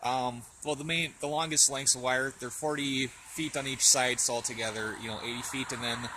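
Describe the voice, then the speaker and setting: A man giving a casual, unscripted show-and-tell as a vlogger outdoors, speaking in a halting way as he thinks aloud. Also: medium pitch, fairly even intonation, sharp tone, poor audio. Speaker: hobbyist vlogger. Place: outdoors in a yard in winter. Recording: outdoor camera audio with faint background noise.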